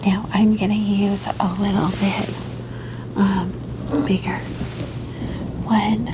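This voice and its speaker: A woman in a whispered ASMR roleplay as a gentle, reassuring doctor, with a soft, breathy delivery. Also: medium pitch, noisy background. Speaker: ASMR performer playing a doctor.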